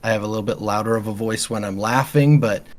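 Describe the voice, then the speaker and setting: A man chatting casually as an interview guest, quiet and calm in his delivery. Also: fairly low pitch, quick pace. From Canada. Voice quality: quiet speaking voice. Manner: calm, easygoing. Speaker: metal band vocalist, podcast guest.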